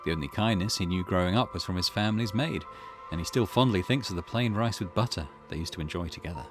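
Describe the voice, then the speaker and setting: A man's voice: low-pitched, fast talker. A man narrating a game video, reading visual novel dialogue aloud as music plays. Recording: loud music behind voice.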